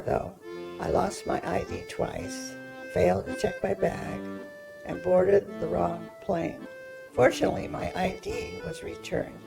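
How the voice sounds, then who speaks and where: A woman in her eighties reading her own personal story aloud in a measured storytelling way. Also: mid-range voice, vocal fry, low-quality recording. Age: 80s.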